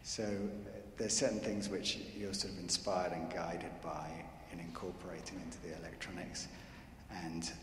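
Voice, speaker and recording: A man's voice: deep voice, little pitch movement, normal speed, fairly bright tone. A man who handles the electronics, explaining at a handheld mic in a church, rambling and full of fillers. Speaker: electronics collaborator answering questions. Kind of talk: unscripted Q&A answers. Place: large church. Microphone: handheld microphone. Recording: echoey church with faint noise.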